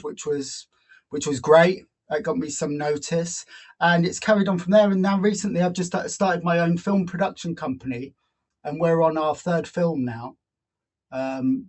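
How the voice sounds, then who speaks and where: Higher-pitched man, reflective. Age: 40s. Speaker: guest, actor and filmmaker. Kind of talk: conversational interview answers.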